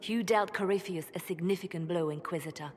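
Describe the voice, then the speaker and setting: A composed advisor, played by a woman, briefs her leader in a fantasy video game. Her voice sits low and her delivery is businesslike. A long reverb trails her words over faint noise. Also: brisk, lightly acted. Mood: calm. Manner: calm, businesslike.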